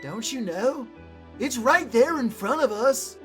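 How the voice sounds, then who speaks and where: An earnest, excitable young man played by a woman speaks in a high voice that swoops up and down. He is a fervent park protester from a game dub, formally polite and theatrical, with music playing behind him. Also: slow. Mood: excited. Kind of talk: fan dub game voice acting.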